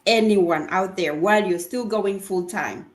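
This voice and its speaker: Slow-paced woman hosting in an echoey room. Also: medium pitch. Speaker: livestream host. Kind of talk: upbeat livestream hosting. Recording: roomy, echoing livestream audio.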